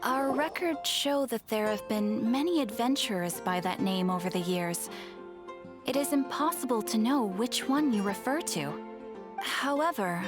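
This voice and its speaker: A woman's voice: fairly high pitch, lightly acted. A woman voicing a polite, helpful guild clerk in a video game, formal in her wording. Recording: music behind the voice.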